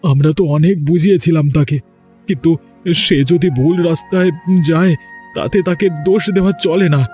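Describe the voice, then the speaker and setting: Cartoon henchman male actor: devoted servant, raised pitch, vehement bully, soft music underneath. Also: normal speed, natural voice. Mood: reassuring. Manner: vehement, hectoring. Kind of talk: cartoon voice acting.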